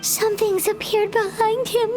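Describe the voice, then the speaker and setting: A woman voicing a tiny, excitable, childlike fairy companion in a video game, squeaky and exclamatory. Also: moderate pace, over loud music, poor audio. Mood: alarmed.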